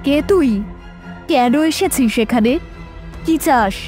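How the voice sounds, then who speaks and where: A woman playing a stern female tantric exorcist in an audio horror story, commanding and deliberate. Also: sits high, animated, a little mellow, soft background music. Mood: challenging.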